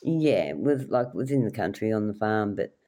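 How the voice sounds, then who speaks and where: A woman's voice: very deep voice, animated, brisk, warm tone. A middle-aged woman from rural New South Wales with an Australian accent, telling casual anecdotes as an interview guest. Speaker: podcast guest, wildlife carer.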